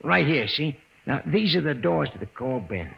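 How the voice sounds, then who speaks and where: An actor in an old-time radio drama playing a slow-witted, eager, servile night watchman who stammers and repeats his words. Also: a little high, big pitch swings, creaky, very noisy, exaggerated voice. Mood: eager, simple. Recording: dull lo-fi old radio recording.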